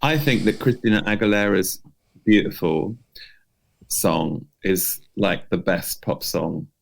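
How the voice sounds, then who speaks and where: Male co-host for casual advice chat: low voice, informal, halting phrasing. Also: gravelly, rough recording. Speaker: podcast co-host. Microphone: remote recording from separate location.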